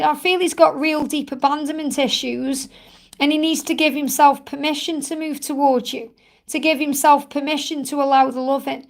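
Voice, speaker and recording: Woman host with a high, gravelly, croaky voice giving reassuring card readings on a live stream. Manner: soothing and reassuring, repeats phrases often. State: croaky, tired voice from much talking.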